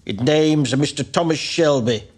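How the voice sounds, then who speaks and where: A man's voice: fairly high pitch, moderate pace, a little mellow, natural voice. An actor playing a stern, authoritative older statesman, speaking in clipped, commanding tones over a telephone. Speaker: senior politician on the phone. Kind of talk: period TV drama. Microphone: phone line.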